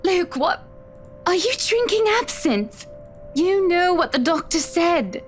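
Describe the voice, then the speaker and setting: A woman's voice: very high voice, sing-song, takes it slowly, slight background noise, full character voice. An actress playing an indignant wife in a visual novel game, scolding and pointed as she stands up to her husband. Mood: alarmed, scolding.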